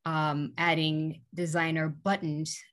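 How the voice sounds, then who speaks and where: Low-pitched chatty woman guest in her forties, from Guyana, talks freely with fillers. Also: little pitch movement, slow pace, fairly bright tone. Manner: chatty, many "um" fillers, laughs easily. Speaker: guest, small business owner. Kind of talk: casual interview.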